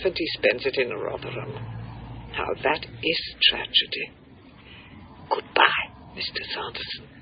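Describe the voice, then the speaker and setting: A woman's voice: deep voice, exaggerated voice. An older woman playing an imperious, coolly condescending lady in a radio drama, with arch delivery. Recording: dull, noisy radio drama phone scene.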